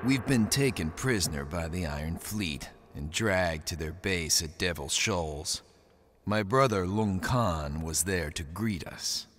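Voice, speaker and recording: An actor playing a brooding, defiant young war pilot in a video game, terse and hard-boiled. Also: male voice, deep voice, expressive, measured pace, fairly bright tone, heavily acted. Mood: grim, bitter. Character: brooding, defiant young man, war pilot seeking revenge on his brother. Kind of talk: video game voice acting, narration and dialogue.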